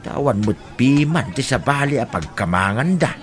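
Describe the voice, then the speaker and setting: A man playing a kindly, self-sacrificing elderly father in an audio drama, speaking gently and earnestly. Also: mid-range voice, animated, moderate pace, crowd noise, close to an everyday voice. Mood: gentle, tender. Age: older.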